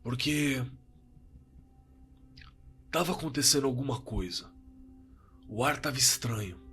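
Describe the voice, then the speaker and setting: A Brazilian man telling a story reflectively, halting and repeating phrases for emphasis. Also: medium pitch, expressive, sharp tone. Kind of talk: slow reflective storytelling with dramatic pauses. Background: music.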